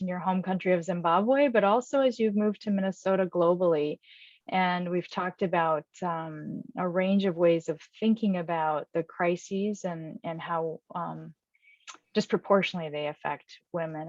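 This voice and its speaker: A woman moderating an online workshop, speaking casually with many pauses and fillers. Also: on the low side, no echo. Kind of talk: casual hosting. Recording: dull dry recording.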